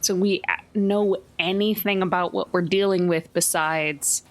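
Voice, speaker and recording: A woman's voice: on the low side, fairly even intonation, unhurried. Wry woman reasoning aloud at a game table. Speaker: player. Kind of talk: casual tabletop actual-play chat.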